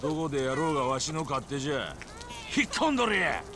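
An actor playing a crude, belligerent street thug with a snarling Hiroshima accent in a video game. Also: male voice, on the high side, big pitch swings, fast talker, background noise. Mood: surly. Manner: snarling, aggressive.

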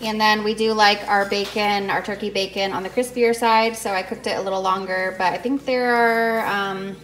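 A young woman casually narrating her meal prep in a home kitchen, talking in a relaxed way with lots of ums and restarts. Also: medium pitch, moderate pace, slight background noise, rough recording. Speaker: cooking vlogger.

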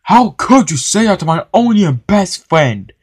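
A man voicing an indignant, fiercely loyal steam engine in a children's story, protesting forcefully with some stammering. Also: a little high, very animated, a little slow, breathy edge, no echo, studio quality, exaggerated voice.

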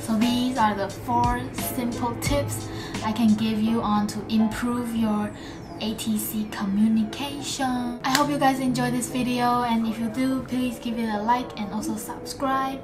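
A woman's voice: on the high side, fairly even intonation, a little slow. A young woman giving casual tips to camera in simple, informal wording with non-native phrasing. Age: young adult. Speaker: student pilot vlogger. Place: small room indoors. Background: background music.

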